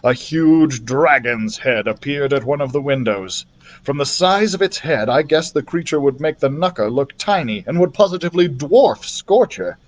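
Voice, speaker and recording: Animated man reading a children's story aloud. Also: fairly high pitch, moderate pace. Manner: animated, acts out the characters' dialogue. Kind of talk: expressive read-aloud narration of a children's fantasy story.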